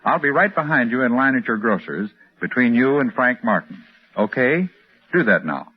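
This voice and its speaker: An American man giving a plain-spoken, earnest pitch in an old-time radio commercial. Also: sing-song, normal speed, breathy edge. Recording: muffled vintage radio broadcast.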